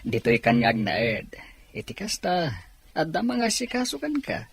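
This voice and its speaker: A man playing a kind, fatherly gentleman with a gentle, reassuring manner in an audio drama. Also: fairly high pitch, huge pitch swings, a little slow, creaky, husky, full character voice.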